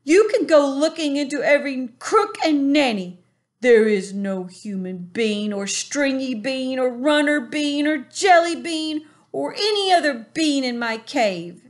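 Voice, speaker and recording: A woman voicing a gentle, friendly male giant who speaks in garbled grammar. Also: high-pitched, expressive, a little slow, voice pushed up. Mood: pleading. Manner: mangled grammar, earnest pleading.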